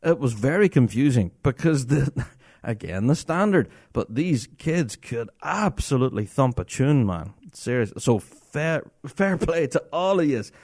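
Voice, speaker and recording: Male podcast host, enthusiastic and laughing, very animated delivery, dry close mic. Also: mid-range voice, unhurried, no room sound. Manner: enthusiastic, chatty, laughs often. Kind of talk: casual solo podcast talk.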